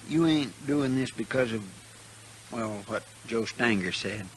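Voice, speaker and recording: An actor playing a loyal, simple deputy with a rural American accent, folksy, deferential and fretful, in a 1950s western radio drama. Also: male voice, medium pitch, a little slow, natural voice, low-quality recording. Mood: dismayed. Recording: hissy 1950s radio recording.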